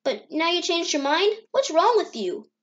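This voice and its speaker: A woman's voice: very high voice, very animated, normal speed, a bit bright, highs cut off, heavily acted. A woman voicing an eager, playful little boy fox in an amateur comic dub. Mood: defiant, hurt.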